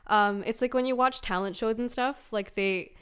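A woman's voice: on the high side, moderate pace, clean tone. A young woman from Malaysia with a slightly American accent, chatting freely as a guest in a podcast conversation, full of "like" fillers. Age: young adult. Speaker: guest, emerging actor.

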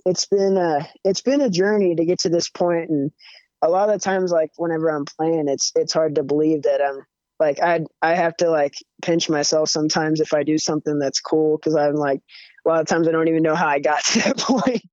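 A young man with a higher-pitched voice, chatting casually as a musician guest with lots of fillers and restarts. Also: fairly quick, no echo. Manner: relaxed, rambling, many fillers and restarts. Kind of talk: casual interview chat. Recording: dull, muffled audio.

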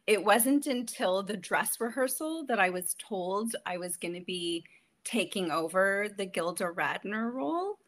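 A woman's voice: medium pitch, a bit bright. Chatty Canadian woman telling stories. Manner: chatty, storytelling, laughs often. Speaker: guest actress. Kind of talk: casual interview chat.

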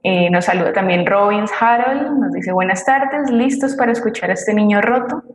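A woman's voice: medium pitch, normal speed. A Colombian woman co-hosting, reading out listener messages and greetings in an echoey recording. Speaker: co-host reading audience greetings. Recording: echoey room with faint noise, clicks.

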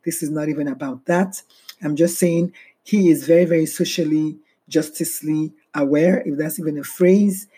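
A middle-aged woman hosting a solo podcast, speaking slowly and heavily with a low, barely audible voice. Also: fairly even intonation, mellow, slightly rough. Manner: slow, heavy-hearted and reflective. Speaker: podcast host.

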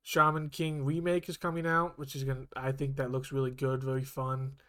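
A man's voice: medium pitch, brisk. A man co-hosting a podcast, chatting casually and rambling with frequent restarts. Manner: rambling, self-correcting, even-toned. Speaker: podcast co-host. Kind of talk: casual chat.

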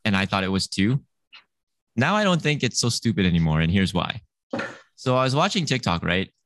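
A man's voice: fairly low pitch, very animated, brisk, a bit bright, dry recording. A man hosting a casual chat among friends, rambling with many fillers. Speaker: podcast host.

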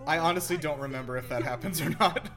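A man with a somewhat high-pitched voice chats casually and jokes his way through a film. He swears lightly, and the movie's music plays faintly behind him. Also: fast talker. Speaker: host of a movie reaction show. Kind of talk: casual reaction commentary over a film. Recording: film soundtrack playing behind. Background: movie audio with music.

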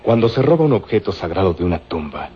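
An actor playing a wary, grave hired thug in a radio serial. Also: male voice, low voice, big pitch swings, fast talker, husky, heavily acted. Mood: ominous warning. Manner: grave, superstitious warnings. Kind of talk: Spanish-language radio serial acting. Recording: dull old radio recording.

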